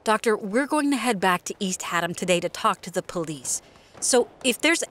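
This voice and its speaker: A woman's voice: medium pitch, animated, normal speed, a bit bright, slight background noise, lightly acted. A woman playing an urgent, worried figure in an audio drama. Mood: concerned. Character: urgent, alarmed woman fearing danger.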